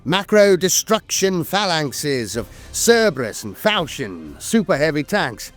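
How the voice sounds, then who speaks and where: A man's voice: a little high, big pitch swings, a little slow, faint music behind. A man reading scripted war lore as a narrator, in a formal, dramatic storytelling manner with the occasional hesitation.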